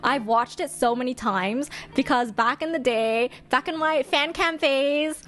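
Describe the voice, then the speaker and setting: A woman's voice: high voice, a little slow, a bit bright. A woman giving casual, chatty reaction commentary as host, with a playful, exclamatory manner. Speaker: host ranking game trailers.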